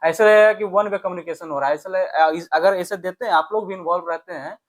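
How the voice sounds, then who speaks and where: A man's voice: sits high, fast. A man teaching exam maths, explaining step by step and often checking that listeners follow. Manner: instructional, repeatedly checks with listeners using short tag questions. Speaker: math teacher. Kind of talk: exam lesson, explaining worked questions to viewers.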